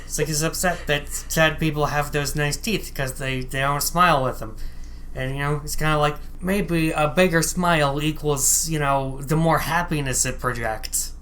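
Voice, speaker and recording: A woman's voice: low-pitched, fairly even intonation, moderate pace, fairly bright tone, a little hiss or hum. A woman co-hosting a casual podcast, summarizing a game in a wry, conversational way. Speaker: podcast co-host.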